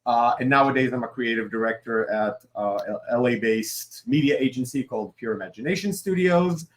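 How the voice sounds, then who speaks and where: A man's voice: mid-range voice, a little fast. Man chatting in an interview. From Israel. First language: Hebrew. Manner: rambling, many fillers and asides, upbeat. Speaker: guest, creative director and comic writer. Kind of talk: casual interview chat.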